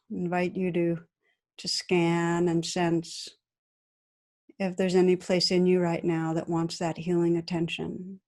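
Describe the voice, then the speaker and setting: A woman meditation teacher guiding a practice in a gentle, soothing, unhurried manner with long pauses between phrases. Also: lowish voice. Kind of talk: guided meditation.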